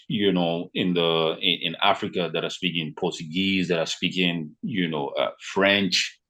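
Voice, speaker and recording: A man's voice: low-pitched, low-quality recording. A man from Nigeria whose first language is Yoruba, talking casually as a podcast guest and filling his speech with "you know" and "man". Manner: relaxed, lots of fillers like "you know" and "man". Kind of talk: casual conversation.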